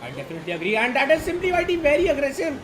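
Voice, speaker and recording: A man's voice: extremely high pitch, wildly swooping pitch. A man co-commentating a live sim-racing stream with a sore throat, jumping up sharply at crashes. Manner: rapid, jumps up sharply at incidents. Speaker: esports race co-commentator. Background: racing game engine noise and music.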